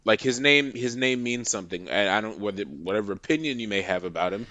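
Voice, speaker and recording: A man's voice: lowish voice, expressive, fast, sharp tone. A man from Massachusetts, a podcast guest, rambling with many restarts in casual chat.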